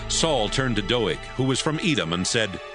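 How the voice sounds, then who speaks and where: Male narrator for scripted scripture reading, animated medium voice, music bed. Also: mid-range voice, moderate pace.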